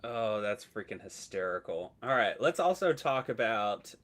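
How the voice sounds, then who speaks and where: A man's voice: lowish voice, big pitch swings, normal speed, a bit bright. A man hosting a live trading stream, chatting casually and teasing his guest. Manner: laid-back, jokey, teasing. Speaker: host. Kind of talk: casual interview chat.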